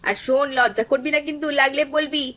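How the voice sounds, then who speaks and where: A woman's voice: high-pitched, fast talker, a crowd in the background, natural voice. A woman voicing a greedy, scheming aunt in a cartoon, coaxing with false sweetness and muttering cunning asides. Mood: sugary, coaxing. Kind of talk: cartoon voice acting.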